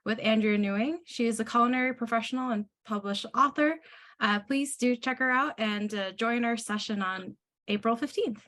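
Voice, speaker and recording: Woman organizer, hesitant announcement delivery, somewhat high voice. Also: fairly bright tone. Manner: hesitant, frequent uh fillers. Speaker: event organizer. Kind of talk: introducing a speaker series.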